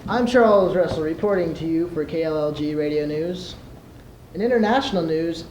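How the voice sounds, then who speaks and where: A man's voice: on the high side, darkish tone. A young man in his teens delivering scripted radio news as a student competitor. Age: teenager. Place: large room at a school event. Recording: echoey, noisy live room recording.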